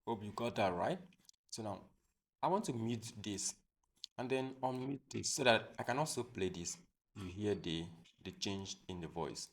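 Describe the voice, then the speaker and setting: A man hosting a software tutorial, explaining step by step in a plain, repetitive way. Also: lowish voice, expressive, moderate pace. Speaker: tutorial host. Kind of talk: screen-recorded software tutorial.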